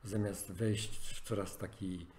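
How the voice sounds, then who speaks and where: A man's voice: low voice, fairly even intonation, slow, a little mellow, a little hiss or hum. A man coaching in a podcast conversation, giving earnest advice with many fillers and restarts. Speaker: life coach.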